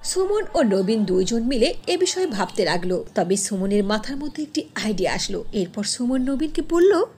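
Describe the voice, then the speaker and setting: A woman's voice: fairly high pitch, sing-song, moderate pace, darkish tone, a little hiss or hum. A woman narrator reading a scripted fairy tale for a cartoon.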